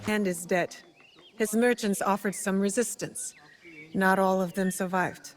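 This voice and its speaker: A woman's voice: medium pitch, fairly even intonation, measured pace, faint music behind, lightly acted. Woman as a supportive, dryly teasing young warrior. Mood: matter-of-fact. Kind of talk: dramatic video game acting.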